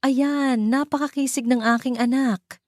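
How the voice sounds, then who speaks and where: A woman's voice: high voice, steady tone, a little slow, a little mellow, pitched above the performer's own voice. A woman voicing a cold, commanding, rich older mother with a Filipino accent in a narrated romance story. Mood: proud.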